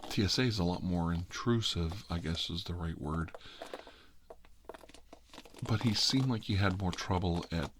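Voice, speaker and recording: A man's voice: low-pitched, unhurried, long pauses, background noise. A middle-aged man with an American accent telling a rambling personal story in a casual, wry, self-deprecating way. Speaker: host.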